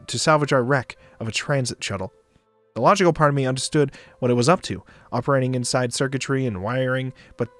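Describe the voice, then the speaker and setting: A man doing a dramatic narration of a visual novel for a stream, expressive, sometimes stumbling and joking. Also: medium pitch, no echo. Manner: expressive, stumbles and rereads lines. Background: music behind the voice.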